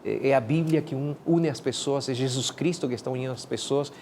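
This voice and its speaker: Man, guest pastor, measured, repeats words, mid voice. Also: medium pitch, darkish tone, a little hiss or hum. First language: Spanish. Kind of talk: casual studio talk.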